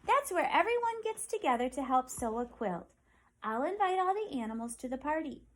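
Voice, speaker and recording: A woman voicing a kind old woman sewing a quilt, with a high, fluttery, exclaiming manner. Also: big pitch swings, moderate pace. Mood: cheerful.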